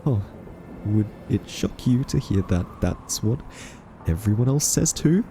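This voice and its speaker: A man playing an earnest, quirky scientist in a roleplay audio drama, soft-spoken, hesitant and argumentative as a thoughtful captive. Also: lowish voice, lively intonation, a little slow, background noise. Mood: skeptical, reproachful.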